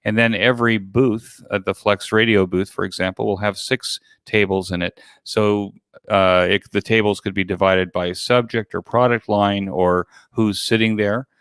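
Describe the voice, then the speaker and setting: A man gives a conversational walkthrough as the guest in an interview. His voice is on the low side and very clean and smooth. He explains things step by step, and the sound is dry and close. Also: steady tone, moderate pace, a bit bright, dry recording. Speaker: interview guest, online event organizer.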